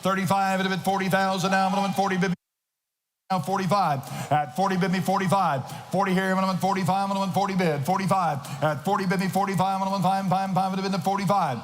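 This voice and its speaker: A man working as an auctioneer, calling bids in a rapid rhythmic chant and shouting the numbers. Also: sits high, fairly even intonation. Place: large auction hall. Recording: noisy reverberant hall.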